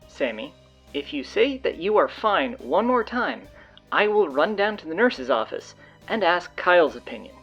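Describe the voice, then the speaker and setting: Bossy teenage girl announcer played by a woman for audio drama: low register, swooping delivery, blunt sarcasm. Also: sing-song, moderate pace, fairly bright tone, slightly rough, muffled recording, soft background music, full character voice. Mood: exasperated, bossy. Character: bossy, sardonic teenage high school girl taking over announcements.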